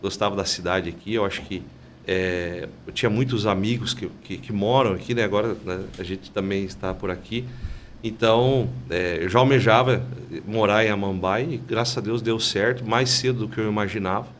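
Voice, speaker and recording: A man, a bank manager guest on a podcast, telling his story calmly and often tagging his sentences with "né?". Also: lowish voice, normal speed, a little hiss or hum. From Santa Catarina, Brazil. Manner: calm, storytelling, frequent "né?" tags. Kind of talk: casual podcast interview.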